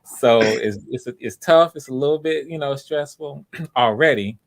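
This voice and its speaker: A man's voice: medium pitch, measured pace, creaky, low-quality recording. A man hosting live auditions over a video call, talking casually and encouragingly, often repeating and rephrasing himself. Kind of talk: casual unscripted hosting talk.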